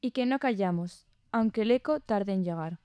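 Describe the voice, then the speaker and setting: An actress as a resolute, thoughtful woman writer in an audio drama. The voice is very smooth and mellow, composed, with a wistful edge and a dry recording. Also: medium pitch, lively intonation, normal speed, no room sound, close to an everyday voice. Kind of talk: scripted audio drama dialogue.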